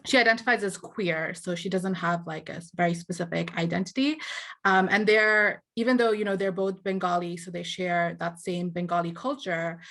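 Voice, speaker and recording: A woman speaking as an author on a panel, pausing often with ums and growing animated as she tells a story. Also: lowish voice. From Ireland. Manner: hesitant, lots of ums, animated when quoting people. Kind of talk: casual panel discussion.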